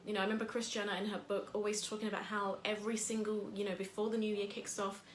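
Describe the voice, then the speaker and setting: A woman's voice: mid-range voice, little pitch movement, quick pace, reverberant, slight background noise. A woman from England hosting a casual motivational talk. She talks in a chatty, rambling way with lots of fillers. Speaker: host.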